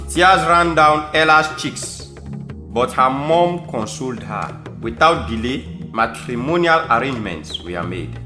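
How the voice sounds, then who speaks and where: A man with a Sierra Leonean accent giving scripted storytelling narration for an audio drama. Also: medium pitch. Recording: echoey room, faint music behind.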